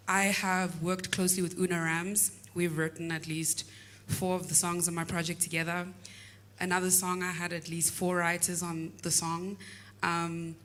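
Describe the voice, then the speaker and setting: A woman with a low, baritone voice and a South African accent, a singer-songwriter sharing her process on a masterclass panel, reflective and pausing often. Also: a bit bright. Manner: reflective, many "um" pauses. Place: recording studio.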